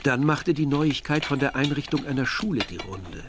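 Radio play narrator: male actor as reflective villager, medium pitch, measured literary storytelling. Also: animated, music in the background, lightly acted.

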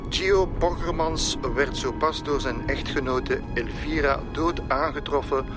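A theatrically solemn Flemish reporter, broadcasting in florid, formal phrases. His pompous voice comes through a car radio in a radio play, with loud music. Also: fairly high pitch, unhurried. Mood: solemn, dramatic. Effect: heard through a car radio. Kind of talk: solemn old-fashioned news reporting in a radio drama.

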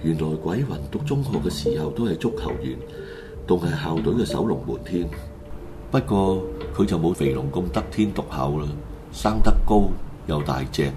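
A man's voice: sits low, expressive, normal speed. A man with a Hong Kong Cantonese accent, narrating a scripted radio drama as a storyteller. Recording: loud music bed under the voice. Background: music.

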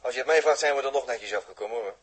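An actor plays a practical spaceship mechanic with a very rough, husky voice at a medium pitch. He talks in a folksy, wry, chatty way, in the style of an old sixties radio serial. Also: rapid-fire, lightly acted. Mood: wry relief. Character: down-to-earth, wry male spaceship repairman. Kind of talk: 1960s Dutch radio drama acting.

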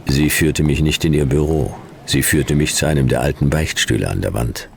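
An actor playing a world-weary, wry private detective in a futuristic radio crime drama, gravelly, laconic and deadpan in clipped sentences. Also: male voice, rumbling low, moderate pace, slight background noise. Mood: deadpan, sardonic. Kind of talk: noir radio drama, first-person narration and dialogue.

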